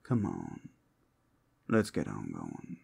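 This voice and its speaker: A man acts out a seductive werewolf companion in a fantasy ASMR roleplay. His voice is low and gravelly, sometimes sinking to a breathy whisper. He takes his time, teasing the listener in a playful drawl that rises and falls widely. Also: huge pitch swings, warm tone, full character voice. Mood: playful, reassuring. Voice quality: gravelly, husky, often dropping to a whisper. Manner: slow, playful, seductive drawl with pet names. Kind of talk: ASMR roleplay, intimate scripted acting to the listener.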